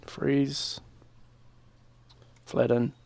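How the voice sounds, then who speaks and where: A young man giving a casual, informal software tutorial, talking through each step in a laid-back, slangy way at a desk microphone. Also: medium pitch, flat delivery, extremely slow, creaky, no echo. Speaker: music production tutorial presenter. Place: small home room, indoors. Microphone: desk microphone on a boom arm.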